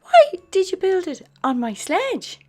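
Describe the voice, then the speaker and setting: A woman's voice: very high voice, very animated, a little slow, dark tone, pitched above the performer's own voice, full character voice. A woman voicing an eager, cheerful young male puppy from a children's storybook. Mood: friendly. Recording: music behind the voice.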